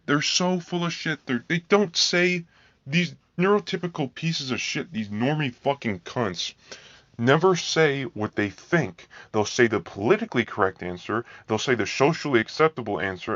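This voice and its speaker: A man with a flat, monotone voice delivers a heated, profanity-laced rant, circling back to the same complaints. The recording sounds dull and lo-fi, with a dry, close feel and no room around it. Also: mid-range voice, normal speed, no echo. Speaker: vlogger. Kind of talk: angry ranting monologue.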